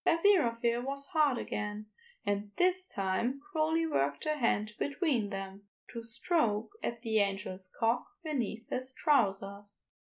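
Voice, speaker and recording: A woman's voice: fairly high pitch, animated, takes it slowly, vocal fry. A woman reading scripted fiction as a narrator. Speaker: podfic narrator.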